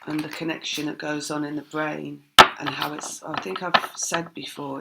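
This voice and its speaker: A woman giving a casual spiritual tarot reading, her voice croaky, talking in a rambling, reflective way with many fillers. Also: low voice, a bit bright, poor audio. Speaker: tarot reader.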